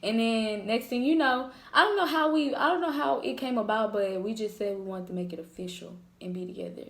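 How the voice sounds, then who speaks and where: Young woman, chatty, animated storyteller, echoey room. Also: a little high, brisk, vocal fry. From Jackson, Mississippi. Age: 20s. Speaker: co-host of a couple's channel. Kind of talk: casual Q&A chat, storytelling.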